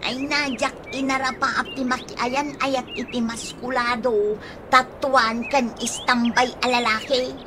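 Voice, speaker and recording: A woman acting a sharp-tongued, bickering character in a comic radio drama, with an Ilocano accent and a sassy, exclamatory manner. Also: sits high, fairly even intonation, a little slow. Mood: sassy, scolding. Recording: noisy radio drama recording.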